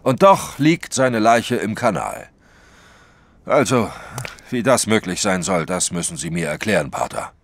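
An actor playing an excitable, emphatic French detective, baffled by a case, in a radio play. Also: male voice, on the low side, a little slow, creaky, exaggerated voice. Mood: puzzled, insistent. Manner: dramatic, emphatic.

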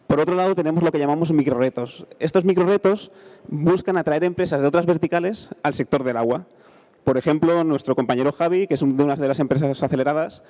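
A man's voice: a little high, darkish tone. A man in his thirties with an accent from Spain gives an informal, conversational conference presentation into a handheld microphone on stage. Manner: conversational, frequent check-in tags. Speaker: conference speaker, engineer presenting a startup accelerator.

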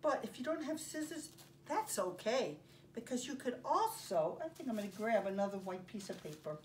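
Older woman, children's story time presenter, fairly high voice, deliberate phrasing, distant mic, faint room noise. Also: big pitch swings. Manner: simple, deliberate phrasing with pauses. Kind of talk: read-aloud and craft demonstration for young children. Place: carpeted home living room. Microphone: camera a few feet away.

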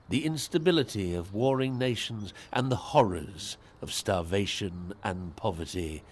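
An actor playing a worldly, measured male sleuth, narrating an audio drama in a slow, deliberate storytelling manner. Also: sits low, a little hiss or hum.

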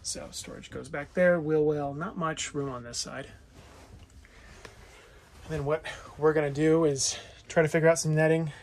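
Male vlogger, slightly high-pitched with strong vocal fry, informal unscripted tour narration. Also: fairly even intonation, moderate pace, a little mellow. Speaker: vlogger and owner giving a tour of a vintage motorhome. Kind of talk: casual, off-the-cuff walk-through narration. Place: inside a small vintage motorhome. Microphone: handheld phone or camera, held by the speaker. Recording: noisy handheld recording with clicks.

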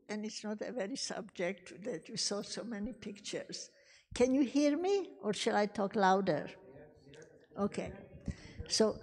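An older woman, Hungarian her first language, talks slowly and gently to a room of students. Her voice is soft and mellow, with restarts and light laughs. The handheld mic carries a long reverb from a large sanctuary. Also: mid-range voice. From Czechoslovakia. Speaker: guest speaker. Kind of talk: personal testimony talk. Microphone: handheld microphone.

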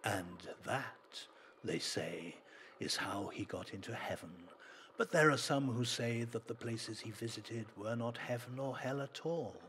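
A man reading a folk tale as a storyteller, in a dramatic, deliberate manner. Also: medium pitch, fairly bright tone, a little hiss or hum.

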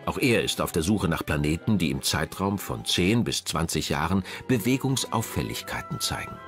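A man narrating a scripted documentary in a measured, dramatic way. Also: low-pitched, sing-song, fairly bright tone. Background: background music.

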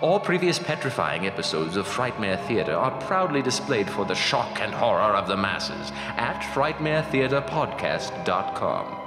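A male announcer reads scripted credits in radio style. His voice is low with animated pitch, set in long echoing reverb. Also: unhurried, hardly any pauses. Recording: echoey hall-like reverb under music.

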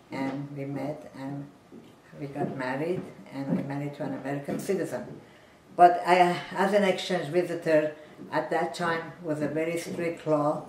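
An elderly woman speaks in a measured, plain way, recalling her life for an interviewer. She sits on a sofa in a living room, heard from a camera some distance away. The room adds a roomy echo, with a faint hum of ambience behind her. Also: sits low, a little mellow. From Iran. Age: 90s. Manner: measured, plain storytelling, seated and still. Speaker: interviewee, physician. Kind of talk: personal life story interview. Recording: roomy, distant home recording.

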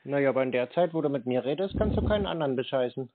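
A man's voice: mid-range voice, animated, very fast. A man chatting casually on a call, needling the other person in a laconic, unruffled way. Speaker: scambaiter. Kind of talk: casual argumentative phone chat. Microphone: phone call recording. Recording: narrowband phone sound with noise, clicks.

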